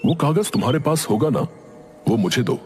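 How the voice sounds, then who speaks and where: A man's voice: on the high side, lively intonation, a little fast, darkish tone, close to an everyday voice. A man playing a welcoming, trusted older village healer in a Hindi cartoon story. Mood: reassuring. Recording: music behind the voice.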